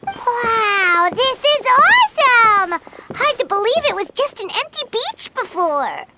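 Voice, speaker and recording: A woman's voice: extremely high pitch, big pitch swings, slow, very noisy, exaggerated voice. A woman voicing a tiny, childlike, cheeky fairy companion in a video game, with an exclamatory manner. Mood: amazed. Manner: talks about self in third person, exclamatory. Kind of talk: video game character acting.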